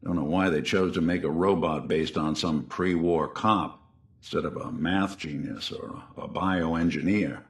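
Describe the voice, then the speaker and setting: A man's voice: low-pitched, roomy sound. A middle-aged American man playing a weary, kindhearted robot detective in a video game, with a wry, old-time hardboiled way of talking. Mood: wry, reflective. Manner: wry, old-time hardboiled slang, halting when confiding.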